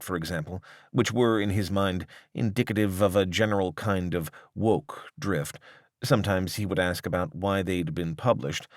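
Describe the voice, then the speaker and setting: Low-voiced man narrating long-form journalism. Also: no room sound, studio quality. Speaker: narrator reading a written article.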